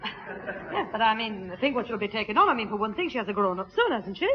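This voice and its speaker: A woman's voice: on the high side, sing-song, a little fast, crowd noise. Homely, sensible mother on muffled radio. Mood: earnest. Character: sensible, kindly middle-aged mother of a cheeky boy. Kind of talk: 1960s radio sitcom acting. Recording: muffled, faintly hissy 1960s radio recording.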